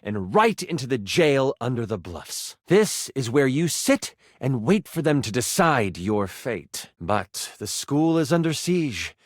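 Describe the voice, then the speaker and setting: An actor playing a theatrical game master, narrating a fantasy adventure in a dramatic, suspenseful way. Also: male voice, medium pitch, big pitch swings, unhurried, few pauses, dry recording, clean studio sound. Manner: dramatic, suspenseful second-person storytelling.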